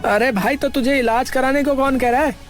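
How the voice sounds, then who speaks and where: Very high-pitched insistent best friend played by a man. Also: fairly even intonation, a little fast, faint music behind. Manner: insistent, brotherly, colloquial. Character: concerned practical male best friend, urging good sense. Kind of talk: dramatized moral story acting.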